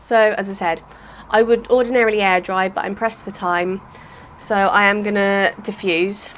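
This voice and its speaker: Lady in her 30s doing a chatty hair vlog at home, bit croaky, rambles a lot. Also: medium pitch, steady tone, moderate pace, a bit bright, a little hiss or hum. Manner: chatty, rambling, lots of "sort of". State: slightly croaky voice. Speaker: hair vlogger. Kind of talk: casual how-to vlog.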